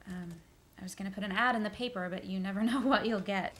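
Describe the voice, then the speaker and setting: A woman's voice: medium pitch, fast talker, fairly bright tone, slight background noise, close to an everyday voice. A woman playing an easygoing young city woman, talking casually and a little hesitantly, in a matter-of-fact way. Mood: wry, casual.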